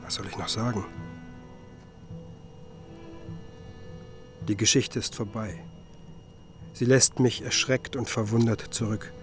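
An actor playing a pensive musicologist who tells his story in a literary radio drama, reflective and measured. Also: lowish voice, fairly even intonation, soft background music, lightly acted. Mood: stunned, pensive. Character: pensive male musicologist, wistful first-person storyteller.

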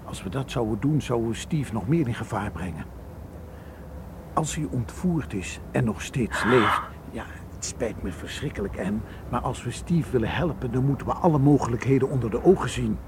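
An actor playing a careful, level-headed Egyptian tour guide in a radio drama, measured and reassuring. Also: male voice, medium pitch, expressive, lightly acted. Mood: concerned. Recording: noisy old radio play recording.

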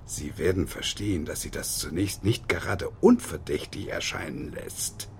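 An actor playing a dry, sardonic police inspector who questions people formally in a German radio crime drama. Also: male voice, sits low, normal speed, creaky, a little mellow, slight background noise. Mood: stern, dry.

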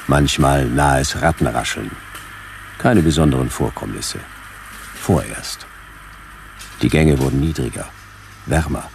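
An actor playing a laconic, weary hard-boiled detective, narrating and speaking in terse deadpan in a radio play. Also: male voice, rumbling low, gravelly, slight background noise, full character voice. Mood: grim, terse. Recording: radio play over loud backing music.

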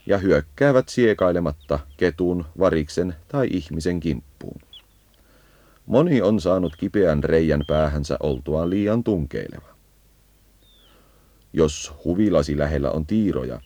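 A man's voice: very deep voice, warm tone, no echo. A man narrating a scripted educational birdsong guide, leading the listener as if on a field walk.

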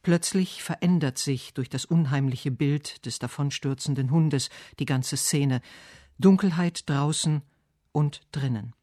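Higher-voiced man, deliberate literary reader. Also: a little mellow, no room sound, studio quality. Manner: measured, deliberate phrasing. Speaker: radio essay reader. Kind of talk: scripted literary narration and poetry reading.

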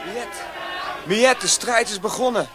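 Excitable young rebel, high-pitched. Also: male voice, measured pace, over a noisy crowd. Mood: urgent. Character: excitable, ardent teenage boy rebel. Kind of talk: radio drama acting. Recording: noisy ambient sound effects behind.

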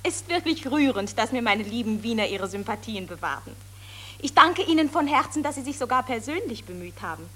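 A celebrated, gracious stage actress in an old radio drama. The voice is high and lively, polished and effusive, staying politely firm. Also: female voice, a little fast. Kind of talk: 1940s radio acting.

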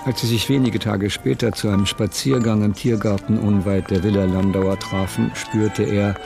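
A measured male narrator tells a literary story for a radio play. His voice is low, with music clearly playing behind him. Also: steady tone.

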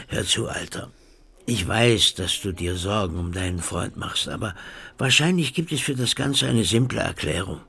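A man's voice: lowish voice, fairly bright tone. An actor playing a blunt, cynical local man who brushes things off with coarse words. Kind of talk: radio drama acting.

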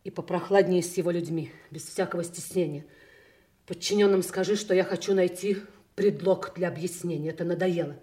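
Goneril, a low-voiced imperious noblewoman played by an actress. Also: fairly even intonation, fairly quick, dark tone, lightly acted. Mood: cold, commanding. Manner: commanding, impatient, crisp orders. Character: Goneril, imperious resentful duchess, king's hard daughter. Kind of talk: theatrical radio drama acting.

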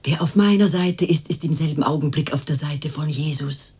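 An older woman playing a cooing, pious, boastful fortune-teller and former madam in a radio drama, with a theatrical, husky delivery. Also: low voice, moderate pace, a little mellow, exaggerated voice. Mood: confiding, pious.

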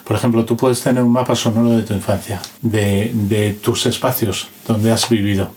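A man's voice: fairly low pitch, steady tone, moderate pace, darkish tone. Colloquial Spanish man, faint background noise. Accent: Spain. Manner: colloquial, checks in with tag questions, acts out quoted speech. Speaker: interview guest, sound and music analyst. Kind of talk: casual anecdotal storytelling.

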